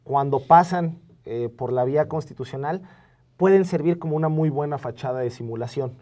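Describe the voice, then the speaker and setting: A man with a Mexican accent speaking as a co-host in an analytical studio discussion, earnest and halting, often repeating words. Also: fairly high pitch, mellow. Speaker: co-host, panelist.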